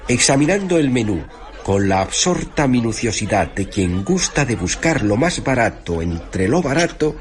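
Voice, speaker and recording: A man reading a literary story in the first person, theatrical and measured, with deliberate pauses between phrases. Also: mid-range voice, sing-song, a crowd in the background. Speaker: narrator reading a short story. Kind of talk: dramatic literary radio narration in first person. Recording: music under the voice. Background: music.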